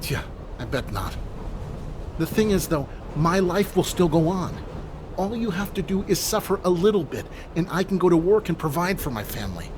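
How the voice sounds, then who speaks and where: A man's voice: sits high, very animated, moderate pace. An actor playing a bitter, weary young man in an audio drama, sarcastic, confrontational and brooding. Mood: bitter sarcasm. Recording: noisy subway train ambience.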